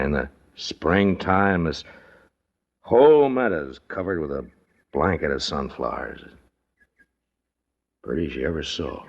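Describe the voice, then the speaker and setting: A man playing a commanding frontier marshal who is gentle with women, in an old radio western. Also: extremely low pitch, creaky, lo-fi sound, exaggerated voice. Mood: gentle, consoling. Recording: noisy old radio recording with clicks.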